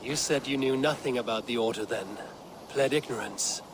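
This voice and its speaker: An actor playing a steadfast Viking warrior leader with a measured, direct delivery in a game drama. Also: male voice, medium pitch, background noise, lightly acted. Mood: probing. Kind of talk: dramatic game cutscene acting.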